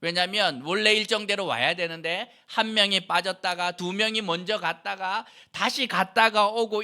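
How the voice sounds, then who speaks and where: A middle-aged man from Andong delivers a church sermon. His high, animated voice rises and falls as he explains things and asks rhetorical questions. The tone is strikingly bright and sharp. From Andong, South Korea. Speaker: pastor.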